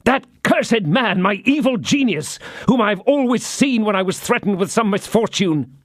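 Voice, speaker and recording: A man's voice: fairly high pitch, fairly quick, no room sound, clean studio sound, voice pushed up. A man voicing an impulsive, eager young male swordsman in an audiobook, talking in a raised, urgent voice. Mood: agitated. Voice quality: excited, urgent.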